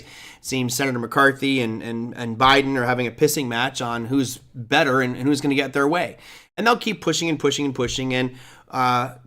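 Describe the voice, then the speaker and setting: A middle-aged man hosting a live market commentary show, his voice crackly and hoarse from a long day of teaching, talking in a casual, blunt, opinionated way with frequent restarts. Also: mid-range voice, a bit bright. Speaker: host.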